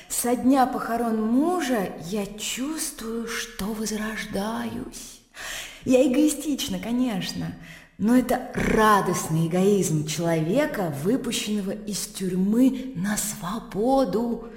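An actress playing a worldly, ironic young widow in a radio drama, animated and articulate, with a teasing manner. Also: female voice, on the high side. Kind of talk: Russian classic radio drama acting. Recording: very echoey hall-like reverb.

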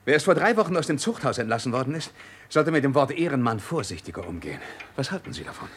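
A male actor plays a coolly sardonic police inspector in a radio crime drama. His mid-pitched voice moves with very lively intonation as he probes and presses with dry authority. Faint noise sits behind the recording. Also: quick pace, lightly acted. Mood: dryly mocking. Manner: probing, authoritative, dryly mocking. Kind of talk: German radio drama acting.

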